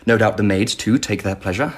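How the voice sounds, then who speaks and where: An actor playing a genial, indulgent but shrewd Emperor in a radio drama, urbane and teasing in manner. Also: male voice, on the low side, brisk, slightly rough. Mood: amused, indulgent.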